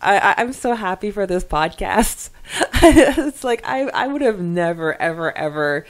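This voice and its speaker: A woman co-hosting a podcast in casual, chatty style, reading comments with hesitant pauses and giggles. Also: medium pitch, expressive. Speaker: podcast co-host.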